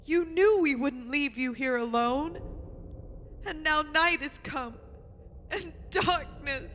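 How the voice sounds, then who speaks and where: An actress plays an overwrought daughter in an old-time radio horror play. She speaks slowly in a high, piercing voice full of dread, in a hissy, reverberant hall. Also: fairly bright tone. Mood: anguished. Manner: dramatic, dread-laden delivery. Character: horror-stricken young daughter, overwrought and melodramatic.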